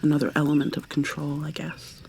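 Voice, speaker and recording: A woman playing a tender, possessive kidnapper in an ASMR roleplay, speaking softly and haltingly, often slipping into a breathy whisper as she coaxes. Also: low-pitched, completely flat delivery, a little hiss or hum. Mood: wistful, subdued. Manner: coaxing, gentle, halting. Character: tender, possessive female kidnapper doting on her captive lover.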